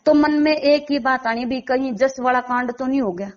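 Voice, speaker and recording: A woman's voice: sits high, moderate pace. A woman speaks. She is emphatic and insistent, pressing her points as an interviewee making an appeal. The recording is dull and lo-fi. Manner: emphatic, insistent, piling up rhetorical questions. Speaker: interviewee commenting on a local case. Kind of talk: spoken interview answers, appeal to authorities.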